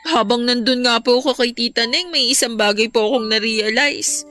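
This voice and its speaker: A woman's voice: fairly high pitch, moderate pace, bright, forceful tone. A woman playing a polite, apologetic, earnest young adult child in a Filipino audio drama. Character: grateful, apologetic young adult child reuniting with adoptive mother. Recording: music under the voice.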